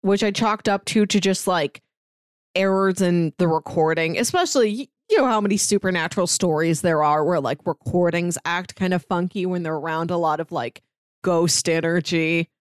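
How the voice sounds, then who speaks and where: A woman's voice: on the low side, moderate pace, clear, resonant tone, no room sound, clean studio sound. A woman co-hosting a podcast, chatting casually as she gives her review. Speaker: podcast co-host.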